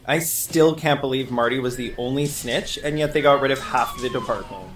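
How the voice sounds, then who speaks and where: An actress playing a sardonic, gossipy police desk officer in an audio drama, with a dry, teasing manner. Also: female voice, rumbling low, huge pitch swings, fairly quick, full character voice. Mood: incredulous. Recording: roomy echo.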